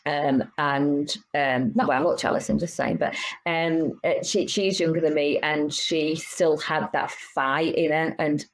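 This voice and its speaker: A woman's voice: deep voice, steady tone, unhurried, rough recording. A woman in her fifties, a business owner and podcast guest, talking frankly and animatedly in casual interview chat with many restarts. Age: 50s.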